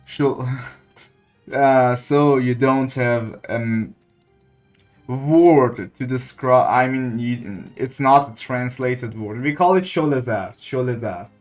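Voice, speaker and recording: Slow-talking game streamer, halting English speaker. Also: medium pitch, a little mellow, soft background music. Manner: halting, searching for words. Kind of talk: casual livestream chat over gameplay.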